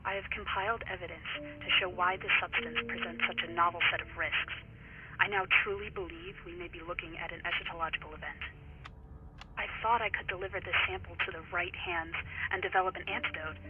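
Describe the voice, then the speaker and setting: A solemn scientist on a tinny log. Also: male voice, high-pitched, brisk. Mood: solemn dread. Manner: solemn, fearful confession. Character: grave male scientist in a recorded log. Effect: recorded message playback. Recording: tinny phone-like line with heavy noise.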